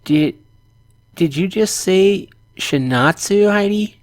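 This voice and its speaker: A man voicing a worried, guilt-ridden middle-aged mother in a visual novel, speaking haltingly and gently. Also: sits high, animated, dry recording, studio quality, pitched above the performer's own voice. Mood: shocked. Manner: halting, gentle, anguished delivery.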